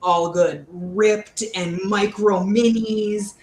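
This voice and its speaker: A woman in her thirties co-hosts a casual book discussion in a blunt, sardonic way. Her voice sits at a middling pitch. The room adds noticeable echo, with a little background noise. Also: steady tone, extremely slow, a little mellow. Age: 30s. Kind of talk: casual chat, book commentary.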